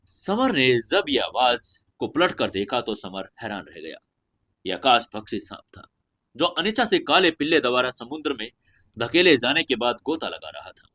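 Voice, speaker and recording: A man's voice: medium pitch, very animated, normal speed, pushed and bright. A man narrating a scripted fantasy audio story in a dramatic storytelling style.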